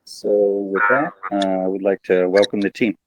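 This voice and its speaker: A man's voice: low-pitched, normal speed, background noise. A man hosting an online Q&A, welcoming the guests and adding short encouraging remarks. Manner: brief encouraging interjections. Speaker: AMA host.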